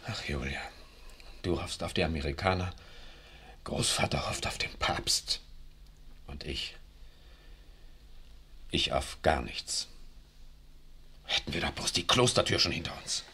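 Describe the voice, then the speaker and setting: A pessimistic young scholar and husband in a vintage radio play. His voice is very deep and husky, with a hard, bright edge. He sounds tense and bitter. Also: measured pace, long pauses, exaggerated voice. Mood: weary. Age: young adult. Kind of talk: 1960s radio drama acting.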